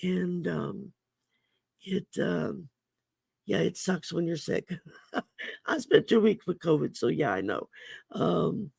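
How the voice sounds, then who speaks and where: Slow gravelly woman. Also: lowish voice, lively intonation, a little mellow, no room sound. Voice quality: gravelly voice from damaged vocal cords. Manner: slow, folksy, chatty with many ums. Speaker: host and oracle card reader. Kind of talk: casual livestream chat. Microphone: computer mic on a livestream.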